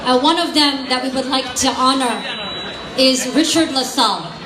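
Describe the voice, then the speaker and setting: A woman's voice: sits high, normal speed. A woman serving as emcee, introducing honorees in an upbeat, formal way in a large event hall. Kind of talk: ceremony announcing. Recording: echoey hall from a distance with loud crowd. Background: loud crowd chatter.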